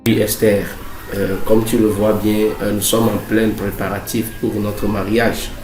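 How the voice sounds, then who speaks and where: A man voicing a pompous, self-satisfied billionaire groom in a cartoon, boasting in a halting way with many pauses. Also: lowish voice, little pitch movement, a little mellow, lightly acted. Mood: boastful. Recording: roomy echo, music underneath.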